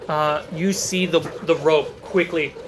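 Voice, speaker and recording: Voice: medium pitch, fairly even intonation, measured pace. Game master narrating over music. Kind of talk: narrating a tabletop fantasy game. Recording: background music under the voice.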